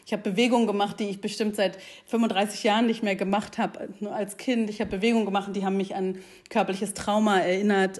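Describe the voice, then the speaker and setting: A woman in her forties talking reflectively, often pausing with fillers as she searches for words and mixing in English phrases. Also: medium pitch, darkish tone. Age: 40s. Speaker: guest. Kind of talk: casual conversation.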